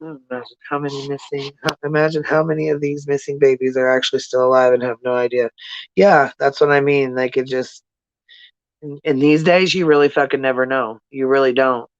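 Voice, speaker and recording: A livestream host talks casually to viewers in blunt, slangy language full of swearing, circling back to hammer points home. The delivery is monotone and the voice is clean and smooth. The recording is dry and somewhat dull. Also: mid-range voice, a little fast, dry recording. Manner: blunt, profane, slangy, repeats points for emphasis. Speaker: livestream host giving commentary.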